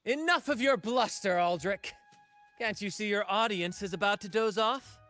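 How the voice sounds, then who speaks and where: A man's voice: high voice, moderate pace, bright, forceful tone, faint music behind. An actor playing a flamboyant, cocky young showman fighter in a video game, with a theatrical, teasing delivery. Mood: taunting, showy. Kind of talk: video game hero acting.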